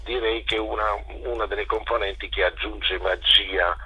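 A man with a husky voice, explaining his work as a theatre director in an interview, hesitantly searching for words. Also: on the low side, steady tone, moderate pace, sharp tone, dry recording, low-quality recording.

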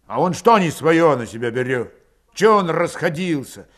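A man voicing a proud, quick-tempered young Cossack father and war veteran in an audiobook, gruff and curt in rustic dialect. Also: fairly high pitch, lively intonation, natural voice. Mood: threatening, defensive. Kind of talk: audiobook character voice.

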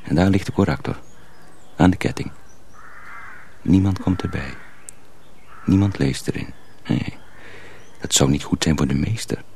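A man playing a sly young miller's apprentice who poses as a simpleton, speaking confidingly and deliberately in a radio drama. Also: low-pitched, darkish tone. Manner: deliberate, hesitant, confiding, repeats himself. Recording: faint background noise.

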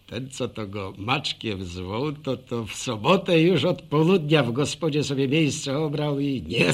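An actor playing a folksy older village man with a rural Polish accent, telling a wry, rambling radio drama monologue in a gravelly voice. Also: medium pitch, fairly bright tone, full character voice. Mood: wry, amused.

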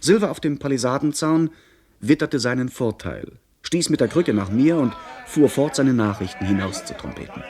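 Young male adventurer-narrator played by an actor, middle register, literary, measured radio drama narration. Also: medium pitch, animated, a little mellow, natural voice. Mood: tense, narrating. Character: young gentleman recounting his pirate adventure.

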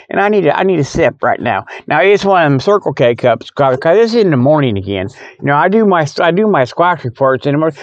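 A man's voice: fairly high pitch, no echo. A man hosting a rambling, casual show from his parked truck in a folksy, jokey, exclamatory way. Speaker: podcast host. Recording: dull, muffled lo-fi audio.

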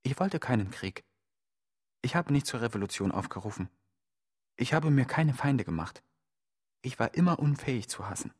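A man's voice: medium pitch, animated, moderate pace, long pauses, slightly rough. An actor playing an accused man in a radio play, insistently and rhetorically pleading his total innocence. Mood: reflective, defensive. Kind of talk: theatrical radio play monologue, litany-like.

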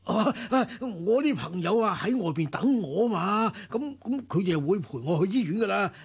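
Scheming robber, radio drama, Hong Kong Cantonese accent, high-pitched, creaky, theatrical groans and taunts. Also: male voice, lively intonation, moderate pace, heavily acted. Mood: feigned helplessness. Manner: hesitant groaning that turns to taunting. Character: conniving male robber feigning an injured tourist, turning menacing.

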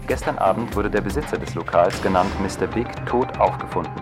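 A low male voice , an actor as a radio drama newsreader. He reads scripted bulletins soberly and factually, with music clearly playing behind him. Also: fairly quick, natural voice. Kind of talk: scripted news reading.

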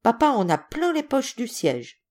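Woman putting on an earnest little boy, higher-pitched. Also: sing-song, measured pace, a little mellow. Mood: pleading. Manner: pleading, naive, polite. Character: small timid, earnest, candid little boy. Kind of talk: acted storybook reading.

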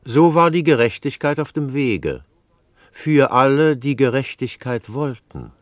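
An actor narrating a radio drama as a wry, knowing chronicler. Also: male voice, mid-range voice, expressive, measured pace, dry recording, clean studio sound, natural voice.

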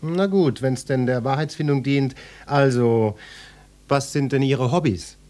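An actor playing a pompous, self-important police detective in a German radio play, speaking in a deliberate, interrogating manner. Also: male voice, mid-range voice, darkish tone, soft background music, lightly acted. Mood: probing.

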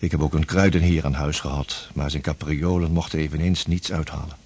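An actor playing a devout, grieving Dutch sea captain in a period radio drama, solemn, formal and halting, with a husky voice. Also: male voice, extremely low pitch, steady tone, a little mellow, exaggerated voice.

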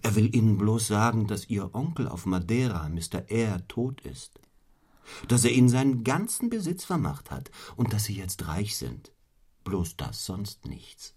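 An actor playing a cold, stern, fiercely ambitious clergyman in a radio play, speaking in a formal, deliberate, rhetorical way. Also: fairly low pitch, big pitch swings, darkish tone, breathy edge, heavily acted. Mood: dry, matter-of-fact. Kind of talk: German radio play acting.

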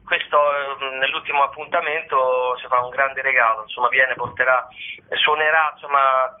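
A man's voice: mid-range voice, steady tone, moderate pace. A man, a comedian guest in a casual interview, rambling and repeating his phrases. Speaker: comedian, guest promoting a variety show. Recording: tinny phone-like radio sound.

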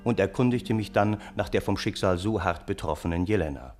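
An actor playing an earnest, indignant gentleman of old Russia, narrating a radio play in a literary, aggrieved way. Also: low voice, brisk, natural voice. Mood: earnest, troubled.

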